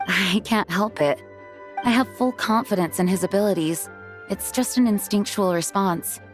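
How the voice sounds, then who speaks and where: A woman's voice: mid-range voice, normal speed, faint music behind, lightly acted. A woman voicing an earnest, dutiful young woman leader in an anime game, sincere and polite.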